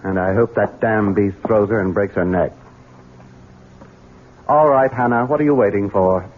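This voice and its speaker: An actor playing a resentful, devious man in a radio drama, excitable and falsely sweet. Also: lowish voice, normal speed, slight background noise, natural voice. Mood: resentful, determined. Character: resentful, scheming Southern husband and coldly devious son. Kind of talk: 1970s radio drama acting. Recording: muffled, narrow-band old radio recording.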